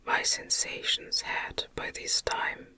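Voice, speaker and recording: Woman doing asmr audiobook, all whisper, slow n gentle, crisp bright hiss right up on the mic. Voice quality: breathy, unvoiced whisper. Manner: gentle, soothing, deliberate. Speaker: audiobook reader. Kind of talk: ASMR whispered reading of a gothic novel. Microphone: close microphone.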